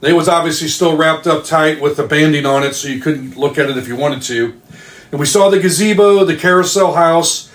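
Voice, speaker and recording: A man with a somewhat high-pitched voice records a casual message in an echoing room. He tells his personal story in order, step by step. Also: fairly quick. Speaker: fan contributing a voice message. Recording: roomy, echoing amateur voice message.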